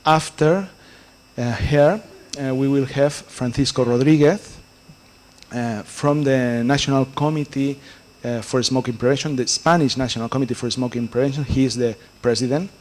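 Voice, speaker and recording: A man's voice: mid-range voice, moderate pace. Hesitant man chairing a conference session. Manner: hesitant, many fillers. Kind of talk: introducing speakers. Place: conference hall.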